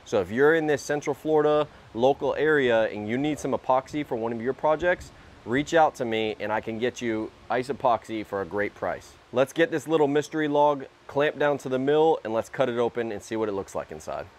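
Relaxed young man at an outdoor sawmill. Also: medium pitch, fairly quick. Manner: relaxed, explains as he works. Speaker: sawmill channel host. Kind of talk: casual workshop vlog. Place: open-sided shed with a sawmill, outdoors.

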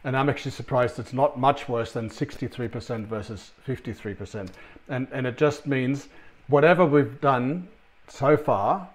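A man's voice: medium pitch, normal speed. A middle-aged man with an Australian accent presenting a recorded conference talk from his office, chatty and full of ums and restarts. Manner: conversational, many ums and restarts. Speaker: sales consultant presenter.

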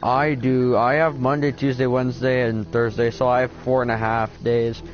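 A deep-voiced teenage boy chatting casually with a friend while playing a game, laid-back and swearing a lot. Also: level tone, normal speed, lo-fi sound, soft background music. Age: teen. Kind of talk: casual gaming chat.

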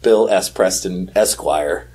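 A man with a husky voice chatting casually and enthusiastically as a podcast co-host about films. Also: low voice, slow, darkish tone.

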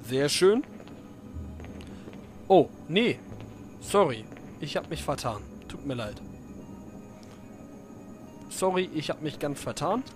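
A man's voice: on the high side, very animated, takes it slowly, long pauses. Chatty, jokey man over game music. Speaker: let's play commentator. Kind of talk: casual gameplay commentary.

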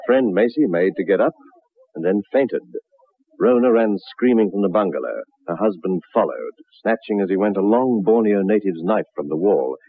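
A man's voice: deep voice, expressive, normal speed, husky, dry recording. An actor playing a shaken man who recounts a grisly horror tale over whiskey in a 1960s radio drama. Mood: grim, agitated. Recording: muffled vintage radio recording, narrow band.

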